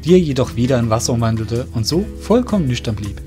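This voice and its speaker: A man narrates a fantasy tale in a scripted storytelling style. His pitch is medium for a man, and soft music runs underneath. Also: big pitch swings, fast.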